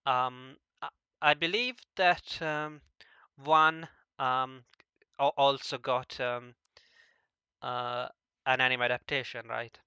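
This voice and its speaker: A man interviews casually in a very bright, crisp voice, though his delivery is halting and he stammers often as he begins words. The recording is a little dull and dry. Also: medium pitch, steady tone, dry recording. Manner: halting, frequently stammering over word starts.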